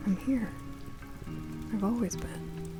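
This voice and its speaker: A woman voicing a gruff, reassuring husband who has returned as a ghost in an audio drama. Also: medium pitch, slow, over music, natural voice. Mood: tender.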